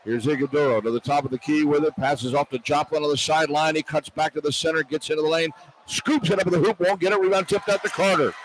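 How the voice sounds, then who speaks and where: High-voiced American man calling basketball. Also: fairly even intonation, quick pace, rough recording. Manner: terse clipped phrases following the action. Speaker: radio play-by-play announcer. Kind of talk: live basketball play-by-play commentary. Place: courtside in a large indoor arena. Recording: radio broadcast with clear arena noise. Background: arena ambience and noise.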